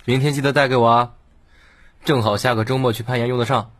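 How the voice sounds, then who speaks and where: A man's voice: medium pitch, fairly even intonation, fast talker, voice pushed up, close to an everyday voice. A man voicing a gullible, eager young male office colleague in an audiobook.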